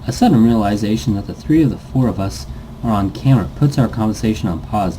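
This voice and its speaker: Man, soft dark tone, story narration, playful, self-correcting, faint background noise. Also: lowish voice, poor audio. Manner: stumbles over text and self-corrects, goofy. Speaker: let's player reading a visual novel aloud. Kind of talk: story narration read aloud with playful asides.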